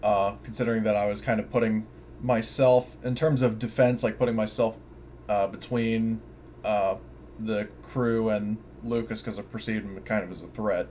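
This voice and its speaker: A man's voice: on the low side, steady tone, normal speed, darkish tone. A man chatting casually as a player in a tabletop role-playing session, tentative and often checking details over a voice call. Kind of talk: casual game-session chat. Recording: noisy voice-call audio.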